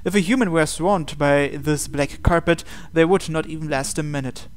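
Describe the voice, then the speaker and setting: A man with a slightly high, clean and resonant voice hosts a game playthrough. He reads visual novel narration aloud and adds his own commentary. His delivery is measured, with pauses between phrases. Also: clean tone.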